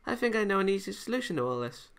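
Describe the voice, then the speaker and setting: A man's voice: high-pitched, very animated, racing, vocal fry, a bit bright, clean tone, pitched above the performer's own voice, full character voice. A man voicing an upbeat, friendly girl in a visual novel, with a light and simple manner. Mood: cheerful.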